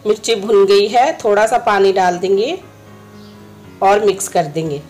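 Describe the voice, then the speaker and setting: A woman with a slightly hoarse throat, a home cooking host, calmly explaining each step of a recipe in her kitchen. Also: medium pitch, unhurried, faint music behind. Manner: instructive, friendly, repeats steps.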